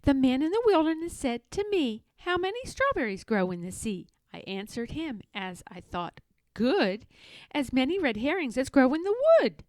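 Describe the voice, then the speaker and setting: A woman's voice: sits high, very animated, normal speed, darkish tone, no echo, pitched above the performer's own voice. A woman voicing an impertinent young male squirrel from a storybook, chanting riddles in a teasing sing-song. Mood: cheeky, teasing. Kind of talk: storybook read-aloud character voice.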